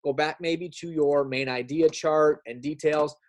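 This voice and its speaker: A man's voice: a little high, fairly even intonation, measured pace. A man teaching young students in an informal read-aloud style, chatty and often checking in with them. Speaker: elementary school teacher. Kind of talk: read-aloud lesson with casual asides.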